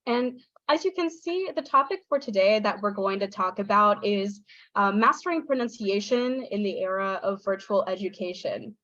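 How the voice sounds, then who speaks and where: A woman's voice: mid-range voice, animated, normal speed, a bit bright, no echo. A young woman with a Southern US accent gives an online workshop talk over a video call, chatty and apologetic with frequent ums. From Southern United States. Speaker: guest speaker, English teaching assistant. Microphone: video call on phone or computer. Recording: dull, dry video call audio.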